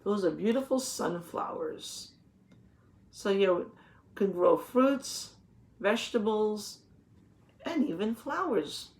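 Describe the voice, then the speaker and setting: A woman reading a children's picture book aloud, pausing to explain things simply and put questions to the young listeners. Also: medium pitch, animated, a little mellow. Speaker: read-aloud teacher.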